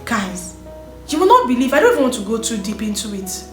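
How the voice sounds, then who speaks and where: A woman recounts her own life story, earnest and animated. Her voice sits slightly high, and she speaks in an echoey room with faint music underneath. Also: brisk. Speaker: vlogger sharing her story. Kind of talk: personal storytelling.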